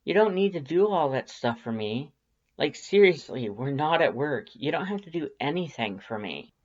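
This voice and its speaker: A woman's voice: deep voice, expressive, moderate pace, dull audio. A woman voicing a casual, good-natured young human protagonist in an audio story, conversational and exclamatory. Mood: protesting.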